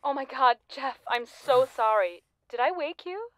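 A woman's voice: high-pitched, lively intonation, unhurried, fairly bright tone, no echo. Needy, sardonic lonely woman, dry close sound. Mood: apologetic, lonely. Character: lonely, persistent, wryly needy former high-school classmate, woman. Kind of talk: naturalistic audio drama dialogue.

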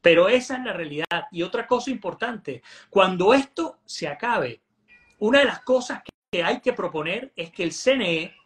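A man's voice: sits high, a bit bright. A man, giving emphatic political commentary as a guest on a video call, often repeating words as he restarts. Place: home room indoors. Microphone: video call webcam.

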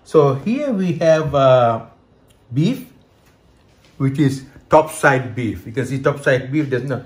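A man in his fifties from Hong Kong talks through a home cooking demo in his own kitchen. He keeps checking in with the viewer as he goes, and his voice sits at an ordinary middle pitch. He is picked up by a camera held close by. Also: lively intonation, takes it slowly. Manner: chatty, explains step by step, many "okay" and "right" check-ins. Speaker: cooking show host. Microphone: camera close by, handheld.

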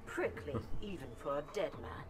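A woman's voice: low voice, animated, moderate pace, heavy background noise. A woman voicing a haughty, threatening female villain in a fighting video game, with a taunting delivery. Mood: disdainful. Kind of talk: video game character dialogue.

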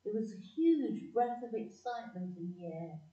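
A woman playing a frail, gentle elderly grandmother in a community audio play, halting, wistful and apologetic. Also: medium pitch, expressive, recorded from a distance, lightly acted. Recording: muffled echoey room, away from mic.

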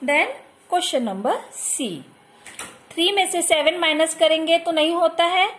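A woman's voice: extremely high pitch, slow pace, a bit bright. A woman teacher with an Indian accent who explains a maths exercise step by step, mixing Hindi and English. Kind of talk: maths lesson over a workbook.

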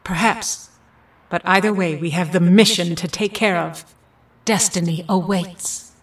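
A woman's voice: lowish voice, unhurried, slightly rough, dry recording. A woman playing a cold, commanding mutant villain in an audio drama, speaking imperiously. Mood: cool, calculating.

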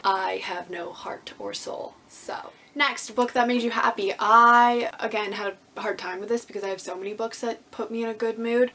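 A woman in her twenties chatting casually to camera as a book vlogger, with an animated, emphatic, slangy way of talking and a husky voice. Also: mid-range voice, slight background noise. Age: 20s. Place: small indoor room with bookshelves.

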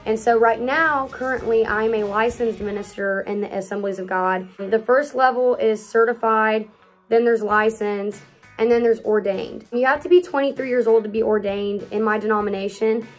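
A woman's voice: a little high, steady tone, normal speed, soft background music. A woman in her early twenties, a youth pastor, chatting upbeat to camera in a casual Q&A vlog from a small room at home. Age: early 20s. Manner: chatty and upbeat, talks with lots of hand gestures.

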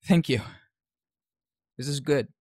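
A man's voice: mid-range voice, takes it slowly, a little mellow, dry recording, clean studio sound. A man acting an earnest, troubled young male student in an audio drama. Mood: earnest, nervous.

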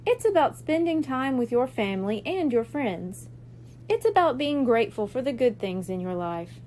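A patient mermaid-cat mama from a storybook, voiced by a woman. The delivery is reassuring and gently explanatory, in a fairly high, expressive voice. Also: normal speed, a little hiss or hum. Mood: gently instructive.

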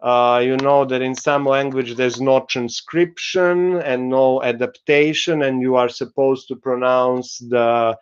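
Guy lecturing slow on a video call, smooth clear voice, kinda dull lo-fi sound, lots of uh and so. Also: mid-range voice, flat delivery. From Croatia. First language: Croatian. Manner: slow, explanatory, with frequent fillers. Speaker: university lecturer. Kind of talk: recorded online lecture. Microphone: video call webcam.

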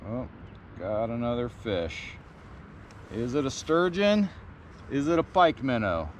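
A man's voice: medium pitch, a little hiss or hum. A man in his thirties explaining a bait rig and narrating his fishing from a pontoon boat outdoors, recorded on a chest-mounted action camera. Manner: slow, easygoing instruction turning excited when a fish bites. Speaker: fishing vlogger. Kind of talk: casual how-to narration while fishing.

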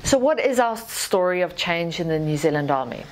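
A woman in her thirties with a Zimbabwean accent gives a prepared conference presentation in a formal, measured manner. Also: on the low side, lively intonation, slight background noise, low-quality recording. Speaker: army lessons manager giving a presentation. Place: small indoor studio with dark backdrop. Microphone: lapel mic.

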